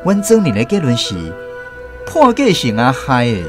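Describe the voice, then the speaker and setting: A man's voice: medium pitch, huge pitch swings, moderate pace, music in the background. A man with a Taiwanese accent narrating a radio drama as a scripted story.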